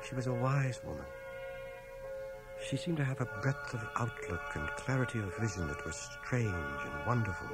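An actor in a radio drama playing a reflective man narrating his small-town boyhood in a measured, expressive way. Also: medium pitch, natural voice. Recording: home cassette transfer, music underneath.

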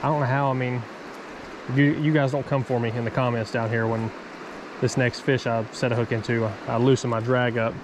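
A man's voice: medium pitch, little pitch movement, fairly quick. A young man in casual vlog chat, talking in an informal, slangy way outdoors as a fishing vlogger. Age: young adult. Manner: informal, slangy bro talk. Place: outdoors beside a creek. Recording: outdoor noise from water.

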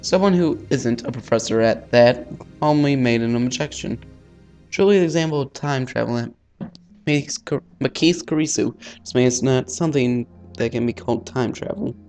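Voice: medium pitch, moderate pace, a little mellow. Casual let's player reading aloud over music. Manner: informal, stumbles over words. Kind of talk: reading game text aloud with casual asides.

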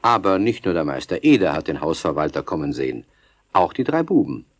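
A man's voice: low voice, big pitch swings, fairly quick. A man narrating a children's radio play in a scripted storytelling style.

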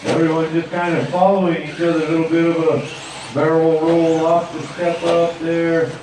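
A man's voice: fairly high pitch, moderate pace, very echoey, distant mic, noisy background. A man giving live play-by-play commentary at an outdoor dirt track. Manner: steady play-by-play calling. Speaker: race announcer.

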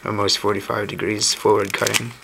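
Hoarse guy, real low and gravelly, noisy home cam audio. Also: fairly quick. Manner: relaxed, thinking aloud with mild uncertainty. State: hoarse, recovering from lost voice. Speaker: cube channel host. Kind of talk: casual unboxing commentary. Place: indoors at a wooden table. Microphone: camera mic on a tabletop shot of hands. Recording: home camera audio with steady noise.

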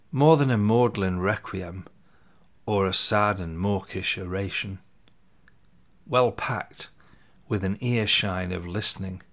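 Slow, low-voiced poet. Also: male voice. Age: 50s. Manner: deliberate, pausing between short phrases, voice dropping at line ends. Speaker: poet reading his own poems. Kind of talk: slow, measured poetry reading. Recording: soft music underneath.

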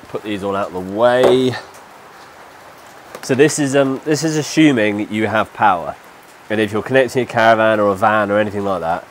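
A man in his thirties with a stuffy nose from a cold, casually explaining a DIY job step by step while he works outdoors. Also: on the low side. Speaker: DIY vlogger. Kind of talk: casual how-to walkthrough. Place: outdoors under a shelter in the rain. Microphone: lapel mic. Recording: light rain noise behind.

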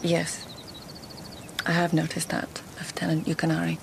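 A woman's voice: sits low, completely flat delivery, normal speed, creaky, noisy background. An actress playing a shrewd, composed lady of the court in a radio drama, formal and direct. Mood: poised, guarded.

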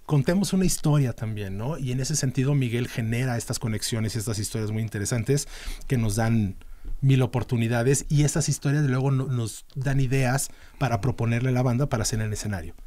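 A middle-aged man with a Mexican accent talks at an ordinary pitch, explaining things at length and filling gaps with fillers and restarts. He speaks into a studio mic in a small podcast studio. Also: brisk, warm tone. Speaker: band manager, podcast guest. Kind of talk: casual interview talk. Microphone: studio mic on a boom arm.

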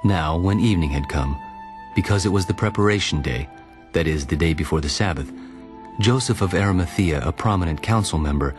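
Very deep-voiced male scripture narrator. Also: a little fast. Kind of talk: solemn dramatized scripture narration. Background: music.

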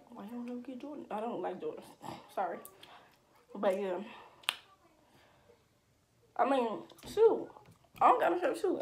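A woman's voice: medium pitch, slight background noise. An eighteen-year-old woman answering viewer questions in a casual, slangy chat, recorded on a webcam at home. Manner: chatty, slangy, animated with hand gestures. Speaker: vlogger. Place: small room at home, indoors.

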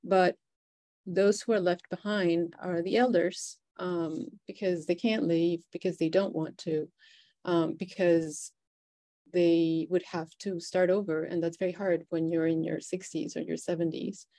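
A woman's voice: lowish voice, steady tone, a little slow, dark tone. A woman from Venezuela, a native Spanish speaker with a Venezuelan Spanish accent, speaking reflectively as a poet on a panel.

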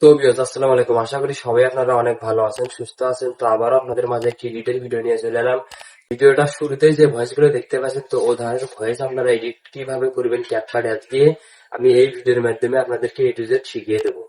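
A man's voice: medium pitch, little pitch movement, racing, mellow, low-quality recording. A man hosting a casual step-by-step app tutorial, with repetitive, instructional phrasing. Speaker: tutorial host.

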